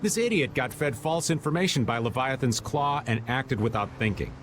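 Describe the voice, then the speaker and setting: A man's voice: medium pitch, animated, moderate pace, noisy background, close to an everyday voice. A man playing a roguish, easygoing middle-aged rascal in a video game, playful and world-wise. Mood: world-weary. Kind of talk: video game dub acting.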